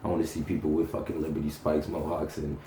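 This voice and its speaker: A young man from North Carolina chatting casually as an interviewed rapper, slangy, profane and halting. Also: rumbling low, completely flat delivery, warm tone. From North Carolina, United States. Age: young adult. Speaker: punk rapper being interviewed. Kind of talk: casual chat. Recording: roomy echo, faint background noise.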